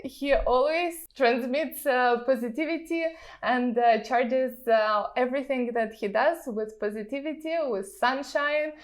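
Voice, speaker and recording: Female interviewer for a podcast, fairly high voice, conversational delivery. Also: unhurried. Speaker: podcast host.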